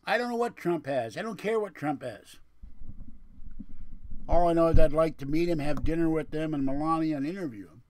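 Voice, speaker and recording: A man's voice: fairly high pitch, a little fast, a little mellow, low-quality recording. A man with a lisp chatting casually and rambling to viewers on a livestream, with a digressive, grumbling manner. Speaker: livestream host.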